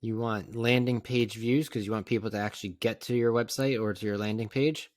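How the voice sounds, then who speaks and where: A man narrating a casual step-by-step software tutorial in a plain, conversational, instructional way. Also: on the low side, fairly even intonation, a little fast, no echo, clean studio sound. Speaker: tutorial presenter.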